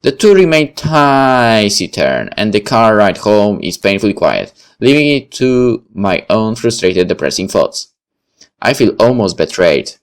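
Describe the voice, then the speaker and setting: A man's voice: fairly low pitch, sing-song, unhurried. A man reading a story game aloud and commenting on it as he plays. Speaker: gamer reading a visual novel aloud and commenting. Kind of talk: dramatic read-aloud of first-person fiction with casual asides.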